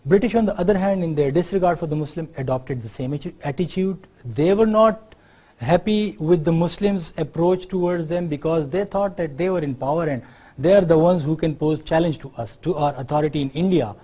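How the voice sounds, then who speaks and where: A middle-aged man with a Pakistani accent giving an unscripted university lecture, often pausing on fillers and checking in with "right?". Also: on the high side, warm tone. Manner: unscripted explaining, many fillers, tag questions like "right?".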